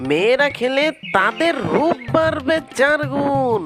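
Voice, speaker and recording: A woman's voice: high-pitched, expressive, unhurried, music in the background. A woman voicing a sly, boastful fox street-food seller in a children's cartoon, with wheedling salesman patter. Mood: boastful, coaxing. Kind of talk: children's cartoon character acting.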